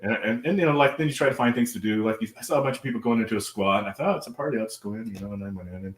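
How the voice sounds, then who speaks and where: Quick, rambling American man, echoey room. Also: lowish voice. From American Midwest. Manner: rambling, sweary, stammering repeats. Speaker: guest artist. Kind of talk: casual anecdotal storytelling in a live interview.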